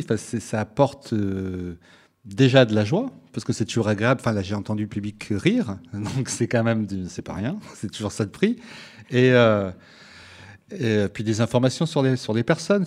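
A man's voice: lowish voice, a little mellow. A man whose first language is Italian, a musician guest speaking hesitantly in a live radio interview, with many fillers and restarts.

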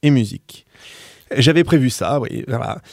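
Male radio presenter, deadpan wit, dead-dry booth. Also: medium pitch, moderate pace, gravelly, no echo. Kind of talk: casual radio chat.

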